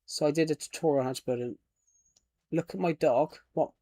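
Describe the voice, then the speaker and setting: A man's voice: on the high side, steady tone, brisk, warm tone, no echo. Rambling British man chatting on stream. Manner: rambling, chatty, reads viewer comments and names aloud. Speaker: livestreamer. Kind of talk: casual livestream chat while playing a game.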